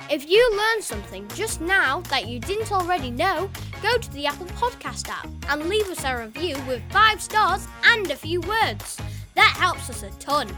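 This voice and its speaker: A childlike space alien delivers a podcast sign-off. The voice is extremely high and sing-song, swooping up and down, with music clearly playing behind it. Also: heavily acted. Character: squeaky space alien reading show credits.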